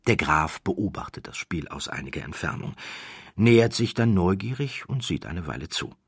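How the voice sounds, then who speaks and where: Man, audiobook narration, very lively intonation, dry studio-like sound, expressive storytelling. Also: sits low, normal speed, creaky, slightly rough, no echo.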